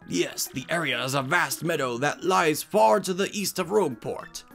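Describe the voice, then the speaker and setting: A man voicing a shriveled old Goomba professor, an excitable, fussy scholar who lectures and exclaims, in a game fan dub. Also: fairly high pitch, normal speed, gravelly, soft background music. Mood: explaining.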